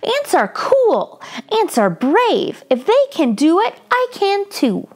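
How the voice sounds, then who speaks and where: A woman voicing a lazy, mischievous young boy who whines and pleads with drawn-out words in a children's storybook. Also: very high voice, huge pitch swings, pitched above the performer's own voice, full character voice. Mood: determined chant.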